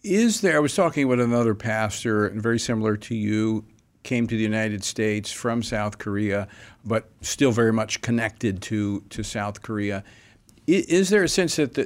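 A male talk show host with a somewhat low voice. He reads news and poses earnest questions at a measured pace, sometimes restarting a phrase. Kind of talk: broadcast interview.

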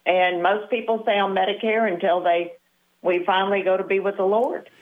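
A woman's voice: medium pitch, steady tone, moderate pace. A woman in her sixties, a Medicare advisor, explaining things matter-of-factly as a guest on the show. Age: 60s. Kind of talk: phone-in interview. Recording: tinny phone line.

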